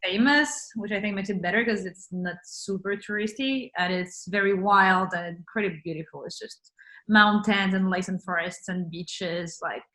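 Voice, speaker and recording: Bright-voiced woman chatting with many fillers. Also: medium pitch, normal speed. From France. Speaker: interview guest. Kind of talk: casual chat.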